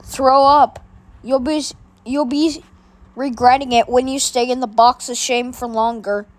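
A woman's voice: high-pitched, fairly even intonation, measured pace. A woman voicing a bossy older boy who gloatingly announces punishments in a homemade skit.

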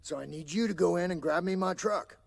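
An actor playing a cocky, cranky middle-aged American hacker in a video game, with a folksy, sardonic drawl. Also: male voice, high-pitched, fairly quick, gravelly, a little hiss or hum. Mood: wry. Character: cranky, cocky older male hacker turned junkyard sculptor.

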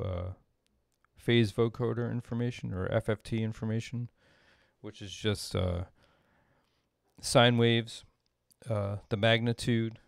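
Middle aged guy doing a casual screen-share tutorial, real low creaky voice, kinda halting. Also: no room sound, clean studio sound. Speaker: composer demonstrating audio programming. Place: small indoor room at a desk.

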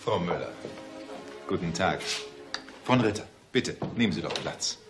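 An unctuous matchmaking assistant in a radio play. His low-ish voice swoops with pompous, over-polite courtesy. Music plays behind him. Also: lively intonation, a little slow. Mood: oily, reassuring. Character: unctuous, chatty male matchmaking agency assistant. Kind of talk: German radio drama acting.

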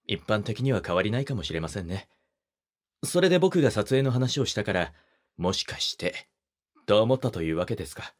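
An actor playing a polite, gentlemanly young wedding photographer who speaks softly and teases the listener in an audio drama. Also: male voice, fairly low pitch. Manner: soft-spoken, polite, teasing.

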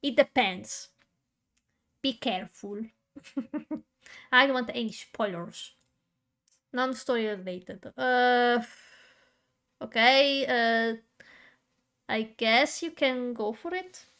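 Stuttering young woman chatting slowly. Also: on the high side, fairly bright tone. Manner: stutters, many fillers and restarts. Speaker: VTuber streamer. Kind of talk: casual live-stream chat while coloring.

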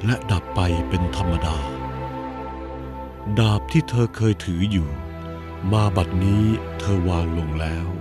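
Calm cartoon buddha, low solemn voice, slow and even, music behind. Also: male voice. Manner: measured, solemn preaching. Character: calm, serene male Buddha teacher. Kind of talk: animated cartoon voice acting.

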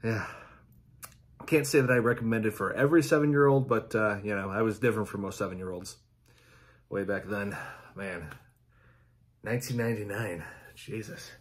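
Casual man in his thirties, halting delivery. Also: lowish voice. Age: 30s. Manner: halting, informal, repeats himself. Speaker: YouTube reaction host. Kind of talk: casual reaction chat to camera. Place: small indoor room at home.

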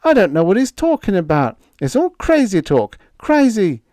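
A man voicing a frightened, desperate man who pleads in a frantic, high-pitched voice. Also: huge pitch swings, moderate pace, mellow, dry recording, clean studio sound. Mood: panicked.

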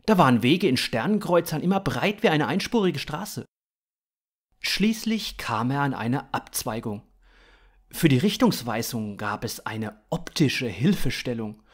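A man in his thirties narrating scripted fiction in a dramatic, expressive way. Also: medium pitch, measured pace.

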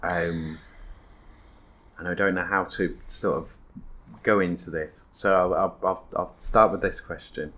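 Deep-voiced hesitant male podcast host. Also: steady tone, unhurried, a bit bright. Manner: hesitant, searching for words, many fillers. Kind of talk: casual interview.

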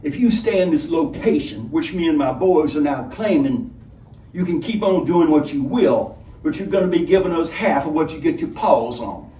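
A man voicing a swaggering, threatening highway robber with rough, folksy commands in a storybook reading. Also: lively intonation, normal speed, warm tone, natural voice. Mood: menacing. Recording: roomy, distant microphone, some noise.

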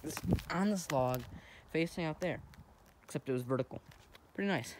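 A man's voice: fairly high pitch, moderate pace. A young American man rambling casually to camera in an offhand, easygoing way, recorded outdoors on a phone. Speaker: vlogger. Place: outdoors on a lake in a kayak and on shore. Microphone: phone held or propped close. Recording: noisy outdoor wind and water noise.

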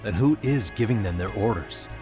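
An actor playing a cool, serious detective in a video game drama, probing and reasoning aloud. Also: male voice, fairly low pitch, animated, moderate pace, music in the background, lightly acted. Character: cool, sharp-minded young male detective, probing and serious.

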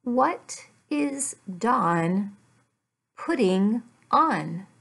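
Very slow-paced woman teacher, slightly high voice. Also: animated, vocal fry, a bit bright, breathy edge. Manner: very deliberate, careful enunciation, repeats phrases for learners. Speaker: English teacher. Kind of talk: slow, simplified language lesson read aloud. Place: furnished room at home.

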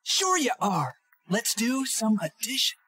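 An excited man in his thirties presenting a children's show with energetic, exclamatory delivery. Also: extremely high pitch, a little slow, breathy edge.

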